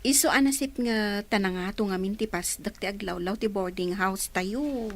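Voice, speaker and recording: A woman playing a cautious but indulgent aunt in a radio drama, with a gently cautioning manner. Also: mid-range voice, normal speed, slight background noise, natural voice. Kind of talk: Ilocano radio drama acting.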